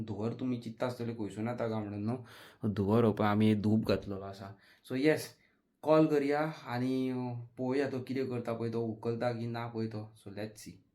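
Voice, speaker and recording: A man's voice: medium pitch, dark tone, no room sound. Goan man chatting in a casual vlog. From Goa, India. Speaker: vlogger. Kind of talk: casual vlog chat to camera.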